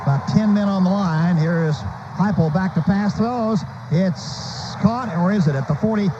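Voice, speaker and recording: A man's voice: high voice, moderate pace. An American man calling a live football game on the radio in clipped, urgent, staccato phrases. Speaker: radio play-by-play announcer. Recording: noisy, dull lo-fi radio broadcast.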